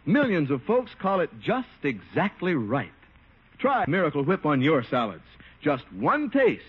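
High, animated old-time radio announcer man. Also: husky. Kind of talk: 1950s radio sponsor announcing, scripted narration. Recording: dull old radio broadcast. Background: faint music.

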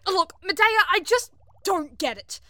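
A woman acting as a sardonic young space heroine in an audio drama. Her voice is fairly high and bright, recorded close and dry. She is expressive and easily exasperated, telling her own adventure with a wry edge. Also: unhurried, no echo. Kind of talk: audio drama acting with first-person narration.

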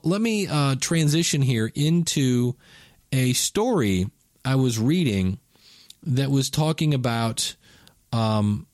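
A man's voice: mid-range voice, a little mellow, no echo, studio quality. A man in his fifties hosting a casual solo podcast, talking in a rambling, chatty way and correcting himself as he goes. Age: 50s. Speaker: podcast host.

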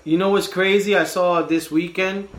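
A man's voice: sits high, steady tone, normal speed, fairly bright tone, echoey room. A man co-hosting a casual podcast chat, laid-back and searching for words, laughing easily. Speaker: podcast co-host. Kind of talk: casual chat over drinks.

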